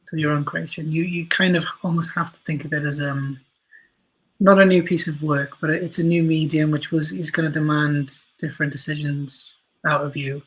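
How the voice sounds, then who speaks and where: A man's voice: fairly high pitch, fairly bright tone, husky, low-quality recording. A man answering interview questions as a writer, talking conversationally and often correcting himself as he goes. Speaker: interview guest, writer for audio and screen.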